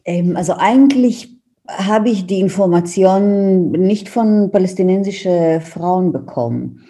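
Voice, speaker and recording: A woman's voice: medium pitch, unhurried, warm tone. A middle-aged woman from Israel with an Israeli accent, a native Hebrew speaker, giving a reflective, hesitant account as an interview guest. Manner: hesitant, searching for words.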